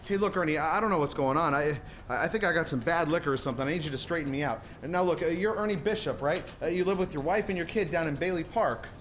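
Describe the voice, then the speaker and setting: A man's voice: fairly high pitch, fast talker, hardly any pauses, a bit bright, slight background noise, lightly acted. A man playing a bewildered, stammering, agitated everyman family man in a 1940s-style radio play. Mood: desperate, confused. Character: frantic, bewildered everyman husband and father. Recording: live stage play with music behind.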